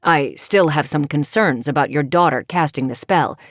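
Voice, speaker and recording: Woman playing a grave cautious king, lowered voice, concerned, dry close sound. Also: normal speed, no room sound, natural voice. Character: middle-aged man, a cautious worried king.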